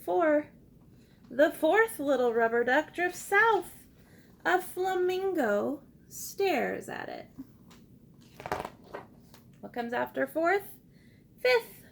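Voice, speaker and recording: High-voiced woman reading aloud to children. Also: very slow, gravelly, pauses often, a little hiss or hum. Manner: encouraging, expressive, addresses young children with simple questions. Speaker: teacher reading a picture book aloud. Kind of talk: interactive children's read-aloud with call-and-response prompts.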